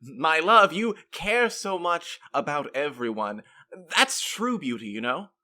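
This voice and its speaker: A flamboyant, playfully possessive young lover in a comedic audio drama. His bright, forward voice swings animatedly through effusive, teasing lines. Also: on the high side, big pitch swings, measured pace, exaggerated voice.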